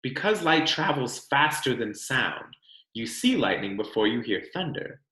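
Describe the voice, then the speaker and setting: An American man with a medium-pitched voice reads to children from a picture book. His intonation is lively and expressive, with deliberate storyteller phrasing. The room around him adds a clear echo. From California, United States. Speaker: read-aloud host, teacher reading to children. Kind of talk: children's picture book read-aloud with casual asides. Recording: echoey room.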